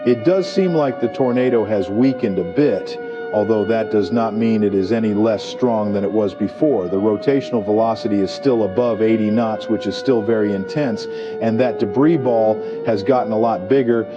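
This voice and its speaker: TV storm anchor, played by a man: measured, grave emergency delivery, lo-fi sound with clear music underneath. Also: on the low side, natural voice. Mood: serious, measured. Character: male TV meteorologist anchoring live tornado coverage. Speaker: weather anchor. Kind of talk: emergency weather broadcast. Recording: dull lo-fi audio with music.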